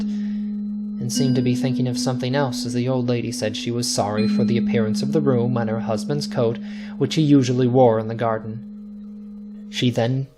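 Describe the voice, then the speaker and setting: A male storyteller with a mid-pitched voice reads a ghost story dramatically. He is deliberate and suspenseful, and music plays strongly behind him. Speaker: narrator. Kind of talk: dramatic scripted story narration.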